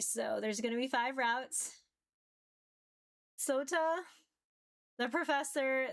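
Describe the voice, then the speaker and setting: A woman with a somewhat high voice talks to her livestream viewers. She goes slowly, in a loose, rambling and informal way. Her manner is giggly, like someone hanging out with chat during a game stream. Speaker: visual novel streamer.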